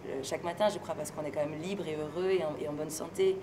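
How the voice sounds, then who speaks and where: A woman from France being interviewed, speaking in a relaxed, thoughtful and hesitant way and laughing easily. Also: low-pitched, fast. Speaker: interviewee, singer and stage performer. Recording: noisy location recording with ambient sound.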